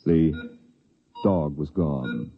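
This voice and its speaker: A man narrating a 1950s radio drama in a grave, theatrical way with deliberate phrasing. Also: a bass voice, lively intonation, vocal fry, crowd noise. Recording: muffled vintage radio, music behind.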